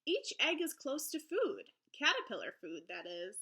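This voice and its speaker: A woman teacher reading aloud to young children in an encouraging, expressive storybook manner. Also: high voice, moderate pace. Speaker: elementary school teacher. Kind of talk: children's read-aloud lesson.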